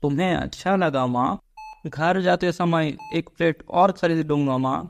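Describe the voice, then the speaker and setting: A man's voice: a little high, unhurried, vocal fry. A man voicing a caring, dutiful young village son devoted to his mother in a Hindi cartoon story. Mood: eager, caring.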